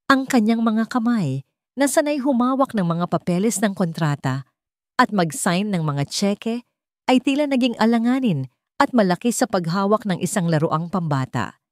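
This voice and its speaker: A woman narrating a scripted love story in a sentimental, dramatic manner with deliberate phrasing. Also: medium pitch, darkish tone, no room sound, studio quality.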